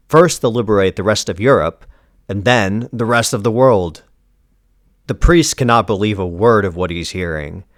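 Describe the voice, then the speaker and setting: Male storyteller for dark comic recaps: deep register, grave deliberate delivery, dead-dry recording. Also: no room sound. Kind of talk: scripted dramatic narration.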